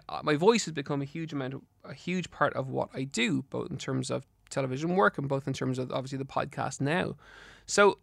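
A man's voice: on the high side, creaky, a bit bright, no room sound, clean studio sound. An Irish man with a slight lisp hosting a health podcast solo, giving advice in an earnest, encouraging, instructive way. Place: studio.

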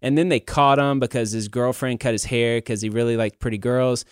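A man's voice: medium pitch, fairly even intonation, brisk, smooth, dry recording, studio quality. A man in his thirties hosting a casual podcast, talking in a rambling, candid, self-deprecating way. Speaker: podcast host. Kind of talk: casual conversational podcast talk.

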